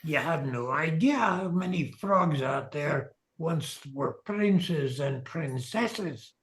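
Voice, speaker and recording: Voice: medium pitch, animated, takes it slowly. An actor playing a grumpy, self-important wizard in a comic radio play, impatient and lecturing, in a roomy recording. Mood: grudging, lecturing.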